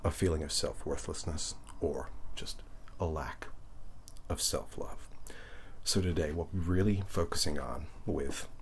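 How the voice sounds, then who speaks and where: A man softly guiding a relaxation session in ASMR style, gentle, unhurried and reassuring, his hushed, breathy voice often slipping into a whisper. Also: very deep voice, slight background noise. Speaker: ASMR reiki session host. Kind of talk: ASMR guided relaxation, speaking softly to the listener.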